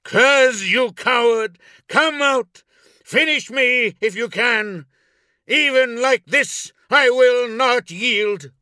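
A man's voice: mid-range voice, sing-song, slow pace, breathy edge, no room sound, clean studio sound, heavily acted. A man voicing a proud, anguished captive warrior in an audiobook, intense and declamatory, full of defiant rage. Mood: furious defiance.

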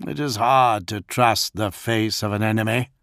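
A man's voice: fairly low pitch, steady tone, moderate pace, a bit bright, no room sound, clean studio sound. A man doing a proud, suspicious warrior lord. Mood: stern. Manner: curt, commanding.

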